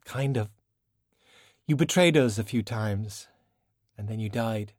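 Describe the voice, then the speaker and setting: A man's voice: on the low side, takes it slowly, darkish tone, no room sound, studio quality. A man voicing a casual, blunt, wry young Irish woman in an audiobook. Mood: matter-of-fact.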